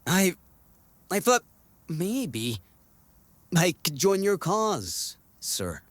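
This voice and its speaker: Nervous young male recruit, eager but hesitant, very slow, hugely varied pitch, acted by a man, fantasy audio drama. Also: wildly swooping pitch, fairly bright tone, no room sound, exaggerated voice. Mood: timid, nervous. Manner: hesitant, stammering.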